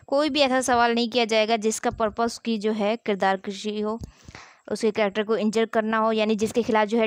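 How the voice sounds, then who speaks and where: A woman's voice: on the high side, dry recording. A woman lectures on law, reading formal text and explaining it step by step with repetition and rhetorical questions. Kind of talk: explanatory law lesson, reading statute articles then explaining them.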